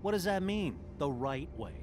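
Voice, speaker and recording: An actor voicing a mouthy, sardonic talking pig in a video game, with a brash, sarcastic delivery. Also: male voice, fairly high pitch, big pitch swings, moderate pace. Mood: skeptical. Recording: loud music behind the voice. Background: music.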